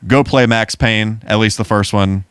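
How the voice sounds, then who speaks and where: A man with a fairly low voice talks casually as a podcast co-host. He has a jokey, mock-grandiose way with his listeners. The sound is close and dry, with no room on it. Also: fairly even intonation, normal speed, fairly bright tone, smooth, dry recording.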